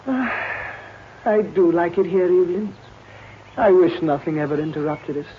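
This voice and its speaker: An actor playing a courtly, affectionate but deceitful husband in a 1950s radio drama, full of theatrical endearments. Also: male voice, high-pitched, normal speed, natural voice. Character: smooth-talking, affectionate, deceitful bigamist husband. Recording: muffled, noisy 1950s radio recording.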